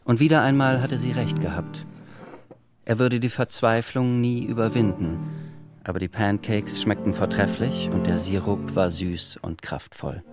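A man reading scripted literary narration for a radio drama in a measured, reflective manner. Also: lowish voice, fairly even intonation. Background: music.

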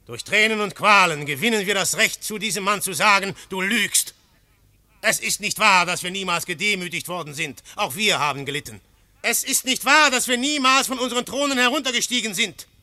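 Forceful, fervent defender of order. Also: male voice, high-pitched, dry recording, heavily acted. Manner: fervent, rhetorical, swinging from hushed to outcry. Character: defiant, fervent male champion of law and order. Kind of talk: German radio play acting.

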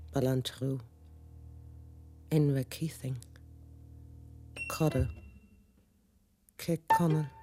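An actress playing a grieving, haunted woman who recalls memories in a measured, subdued monologue for a radio play. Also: rumbling low, mellow, full character voice. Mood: incantatory.

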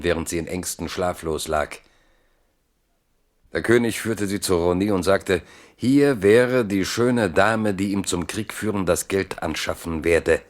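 A man acting as the grave literary narrator of a historical German radio drama, with deliberate delivery. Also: deep voice.

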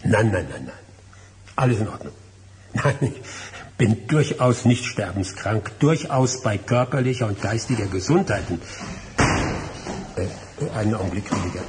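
An actor playing a formal, cautious middle-aged lawyer in a German radio drama, courteous and trying to stay composed. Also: male voice, fairly low pitch, lively intonation, darkish tone, slight background noise, natural voice. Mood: formal, guarded. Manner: formal, courteous, measured, slightly halting.

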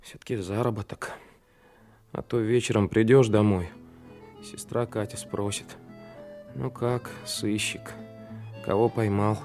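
An actor playing an earnest, anxious, brooding seventeen-year-old trainee detective in a radio drama. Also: male voice, lowish voice, steady tone, a little slow, pauses often, over music. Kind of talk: Soviet radio play acting, inner monologue.

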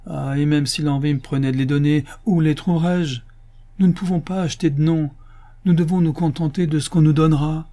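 A man's voice: medium pitch, normal speed, dark tone, breathy edge, lightly acted. A man voicing a humble, thrifty father in a storybook reading, plaintive, deferential and earnest. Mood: whining, resigned. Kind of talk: audiobook character acting.

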